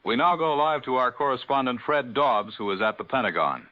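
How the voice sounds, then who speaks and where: A man's voice: mid-range voice, animated, brisk, no room sound, lightly acted. A man playing a grave 1940s radio news anchor with a formal, dramatic announcer delivery. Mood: grave, urgent. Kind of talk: 1940s radio newscast acting. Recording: dull lo-fi vintage radio broadcast.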